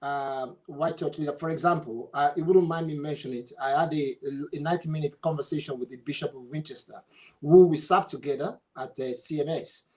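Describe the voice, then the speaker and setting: A man's voice: fairly high pitch, brisk, a little mellow, poor audio. A man, a clergyman, recounting his experience in a panel discussion. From Africa, Nigeria.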